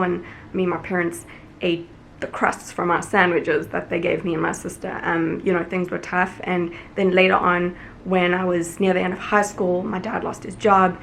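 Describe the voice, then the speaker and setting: A woman's voice: on the low side, creaky, slight background noise, poor audio. A young woman from Africa, talking to camera in a casual, earnest vlog. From South Africa. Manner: earnest, warm appeal to viewers, chatty. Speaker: vlogger.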